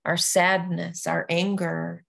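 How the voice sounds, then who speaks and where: A woman introducing a guided meditation as a yoga nidra teacher, speaking gently and deliberately with long pauses. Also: on the low side, little pitch movement, creaky.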